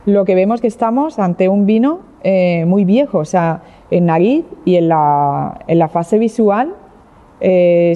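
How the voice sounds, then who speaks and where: A woman from Catalonia, a winemaker, leading a wine tasting in an explanatory, heartfelt way, hesitating and restarting often. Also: medium pitch. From Catalonia, Spain. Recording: dull lo-fi sound with long hall reverb.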